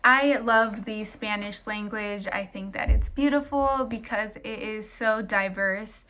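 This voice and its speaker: Young woman talking real slow, bright and smooth, scripted vlog. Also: mid-range voice, rough recording.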